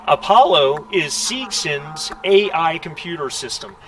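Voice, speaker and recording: A man running a tabletop roleplaying game as game master, explaining the setting in a halting, casual, conversational way over an online group call. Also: on the high side, expressive, fairly bright tone. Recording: noisy, distant microphone.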